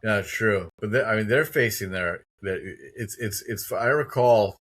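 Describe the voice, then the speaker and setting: A man's voice: lowish voice, unhurried, a bit bright, husky. A man in his fifties from the United States hosting an interview podcast, telling stories in an emphatic, opinionated way. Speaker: podcast host. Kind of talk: conversational interview.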